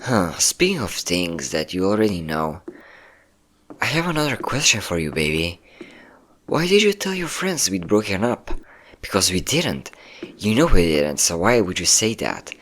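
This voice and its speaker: A man's voice: low voice, sing-song, moderate pace, fairly bright tone, full character voice. A man playing a possessive, manipulative ex-boyfriend in a dramatic ASMR roleplay, coaxing with pet names and sweet-talking menace. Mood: accusing, possessive. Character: possessive, manipulative yandere ex-boyfriend hunting his hidden partner.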